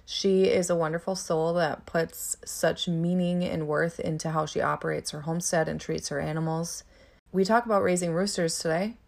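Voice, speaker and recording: A woman with a slightly raspy voice, chatting casually and candidly as a podcast host. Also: low voice. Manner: candid, informal.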